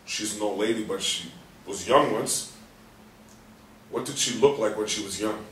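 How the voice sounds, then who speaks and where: A man's voice: on the low side, normal speed, a bit bright, natural voice. An actor playing a frustrated man waking from a coma, urgently berating his own brain in a stage play reading. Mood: frustrated, brooding. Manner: self-berating, urgent muttering with outbursts. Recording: roomy distant stage recording, faint noise.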